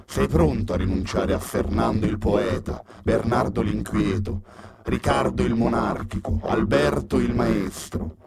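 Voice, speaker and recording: A man voicing a sinister male occultist cult leader, speaking in a solemn, gravelly incanting tone. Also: lowish voice, a little slow, over a noisy crowd. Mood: solemn incantation.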